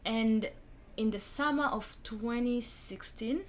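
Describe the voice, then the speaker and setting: A woman's voice: on the high side, takes it slowly, faint music behind. A young woman from Rwanda with a Rwandan accent, a guest in an interview, speaking earnestly and reflectively and repeating phrases for emphasis.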